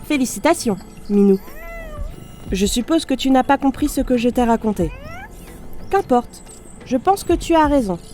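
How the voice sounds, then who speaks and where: A woman playing a damaged robot unit in audio fiction, speaking formally and precisely, polite, analytical and gently naive. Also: a little high, lively intonation, moderate pace, pauses often, natural voice. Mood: gentle, musing. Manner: formal, clipped system announcements and precise explanations. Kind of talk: scripted audio fiction monologue.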